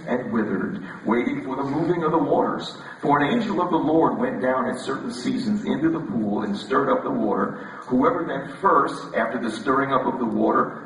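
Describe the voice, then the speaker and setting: Scratchy-voiced preacher in an echoing hall. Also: male voice, mid-range voice. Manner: casual and joking, repeats words as he restarts. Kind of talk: relaxed, conversational sermon. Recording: echoey hall, voice from far off.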